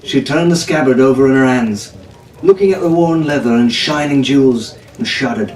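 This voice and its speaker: A man's voice: mid-range voice, normal speed, darkish tone, far from the mic. A man reading a scripted adventure story aloud as a dramatic narrator. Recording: echoey room from off-mic, faint noise.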